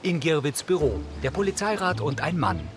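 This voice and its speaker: A man narrates scripted audio description in short, neutral sentences. His voice sits at a medium pitch, with clear music underneath. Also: sing-song, normal speed. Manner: neutral, concise.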